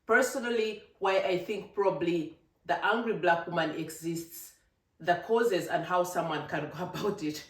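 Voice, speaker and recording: A vlogger from Africa, giving an emphatic, animated opinion monologue with laughing asides. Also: mid-range voice, moderate pace. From East Africa. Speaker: vlogger, channel host. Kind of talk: casual opinion monologue to camera. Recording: roomy, distant from the mic.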